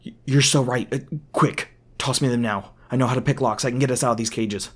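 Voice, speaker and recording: A man playing an edgy, protective young hunter in an audio roleplay, earnest, urgent and halting. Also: medium pitch, fairly even intonation, close to an everyday voice. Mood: frantic. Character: edgy, anxious young male hunter, protective and foul-mouthed.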